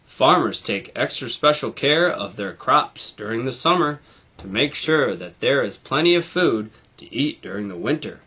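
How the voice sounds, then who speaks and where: A man's voice: medium pitch, lively intonation, moderate pace, bright, forceful tone, breathy edge. A man voicing a helpful, knowledgeable talking airplane radio that announces and explains things in a storybook. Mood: helpful, announcing.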